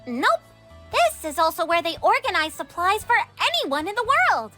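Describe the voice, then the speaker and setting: A woman's voice: very high voice, very animated, normal speed, pushed and bright, soft background music, exaggerated voice. A woman voicing a friendly, chipper young female tour guide in a comic dub.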